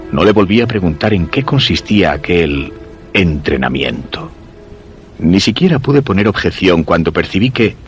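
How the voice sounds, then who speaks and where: Deep-voiced, ailing, fearful husband. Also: male voice. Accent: Castilian Spanish. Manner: measured, literary, solemn storytelling. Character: weak, ailing, fearful husband narrating his suspense tale. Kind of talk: radio drama narration and dialogue. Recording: voice over background music.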